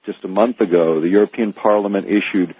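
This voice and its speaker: An American man speaking as an author guest in a radio interview, explaining earnestly and haltingly, repeating words as he goes. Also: fairly low pitch, slightly rough, no room sound. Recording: narrow phone-like archive radio audio.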